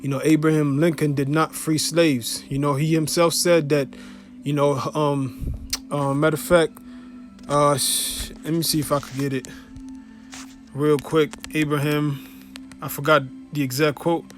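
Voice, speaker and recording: A man's voice: fairly high pitch, little pitch movement, unhurried, soft background music. A man with a Haitian accent giving an informal religious teaching, peppered with "you know" and "you see". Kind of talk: informal preaching video.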